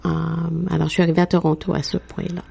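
Unhurried Québécoise woman with gravelly tone. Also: on the low side, little pitch movement, warm tone. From Quebec. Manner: frequent hesitations with "euh", unhurried. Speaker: guest. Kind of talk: interview, telling her life story.

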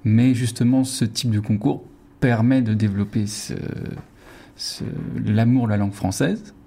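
Man, husky voice, mellow, measured, lots of pauses. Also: mid-range voice, fairly even intonation. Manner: measured, pausing often with fillers. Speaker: guest. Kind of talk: casual interview talk.

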